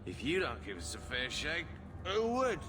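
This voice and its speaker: A man's voice: a little high, huge pitch swings, background noise. An actor playing a blunt, worried male miner foreman who swears freely, in a video game cutscene. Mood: relieved. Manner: blunt, swears, drawling and slow.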